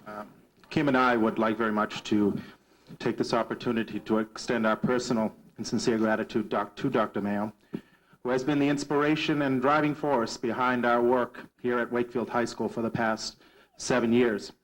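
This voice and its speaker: A man's voice: medium pitch, fairly even intonation, moderate pace, poor audio. A man music teacher giving an earnest, formal thank-you speech in a school auditorium, reading from notes.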